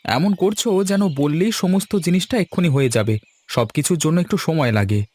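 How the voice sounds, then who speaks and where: A man's voice: fairly high pitch, brisk, a little mellow, dry recording, close to an everyday voice. A man voicing a patient, put-upon adult son in a cartoon, placating and mildly protesting. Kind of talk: cartoon dialogue acting.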